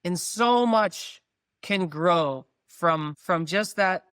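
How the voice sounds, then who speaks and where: A middle-aged man, a guest dancer, talking in a relaxed, thoughtful way with lots of "like" and "you know". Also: high voice, drawn-out words, clean tone, no room sound, studio quality. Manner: relaxed, thoughtful, uses "like" a lot. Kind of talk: reflective interview talk.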